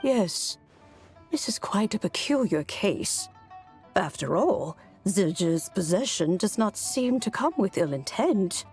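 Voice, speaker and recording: A woman voicing a serene, knowing immortal in a video game, reflective and deliberate. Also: mid-range voice, very animated, a little mellow, soft background music. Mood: serene, thoughtful. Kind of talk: anime-style video game voice acting.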